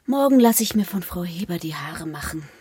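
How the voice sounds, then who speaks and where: A middle-aged mother, husky and on the low side. She is proud and chatty, in a naturalistic radio drama style. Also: expressive, fairly quick, warm tone. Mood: chatty, pleased.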